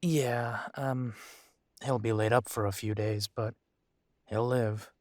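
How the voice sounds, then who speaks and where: Man playing slow-talking, earnest werewolf alpha. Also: mid-range voice, fairly even intonation, no room sound, clean studio sound, natural voice. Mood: concerned. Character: earnest, protective young male werewolf pack alpha. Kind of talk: audio roleplay acting.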